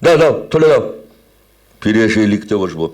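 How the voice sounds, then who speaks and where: A man's voice: on the low side, sing-song, fairly quick, darkish tone. A man playing a grumbling, teasing middle-aged husband who fancies himself a poet, with a Bengali accent and a theatrical, bantering delivery in an audio drama. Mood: irritated. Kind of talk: audio drama acting, domestic comedy. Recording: music under the voice.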